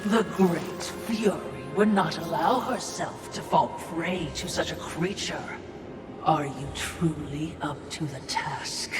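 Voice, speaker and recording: Sly, echoing spirit servant. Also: female voice, low-pitched, slow. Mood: taunting. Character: sly, mocking female spirit servant, falsely deferential. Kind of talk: video game cutscene acting. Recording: echoing, distant from the mic. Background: music.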